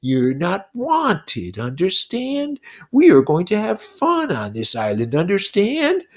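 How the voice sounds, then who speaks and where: A narrator voicing a menacing, mocking pig's head, the beast, with drawn-out schoolmasterly condescension in a story read aloud. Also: mid-range voice, huge pitch swings, pitched above the performer's own voice, full character voice. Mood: angry, threatening.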